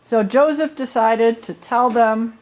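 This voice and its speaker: A woman's voice: fairly high pitch, slow pace, darkish tone, rough recording. Woman telling a story for a puppet show, chatty little asides like a storyteller. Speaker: narrator.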